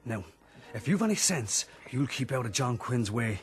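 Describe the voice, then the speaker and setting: An actor playing a bullying, hot-tempered Irish country squire with an Irish accent, blunt and threatening, in a period radio drama. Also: male voice, medium pitch, sing-song, normal speed, close to an everyday voice.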